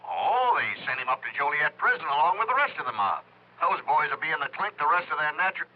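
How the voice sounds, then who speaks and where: Streetwise reporter, husky, bouncy pitch, muffled old radio. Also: male voice, big pitch swings, quick pace. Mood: gossipy. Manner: gossipy, slangy phone talk. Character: chatty male newspaper reporter, streetwise. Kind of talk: 1950s radio drama acting. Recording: dull lo-fi old radio recording.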